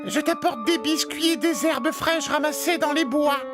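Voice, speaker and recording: A woman voicing a sly wolf who puts on a sugary, drawn-out feminine voice as a disguise in a children's cartoon tale. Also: high-pitched, music in the background, pitched above the performer's own voice, lightly acted. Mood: falsely sweet. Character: sly wolf imitating sweet girl or old grandmother. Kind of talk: children's storybook cartoon acting.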